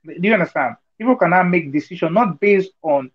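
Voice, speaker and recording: A man makes his case over a video call in a fairly high voice, earnest and argumentative, often stopping to ask if his listeners follow. The sound is dull and lo-fi, like compressed online call audio. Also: expressive, brisk. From Nigeria. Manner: earnest, argumentative, many fillers and rhetorical checks. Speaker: discussion participant. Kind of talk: online group discussion.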